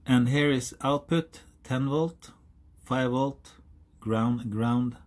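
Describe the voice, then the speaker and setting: A man in his forties from Norway, speaking English with a Norwegian accent, explaining a hobby project in a halting, self-correcting way. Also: medium pitch. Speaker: hobbyist YouTuber. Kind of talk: casual DIY explainer. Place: outdoors on a hilltop, then indoors at a workbench.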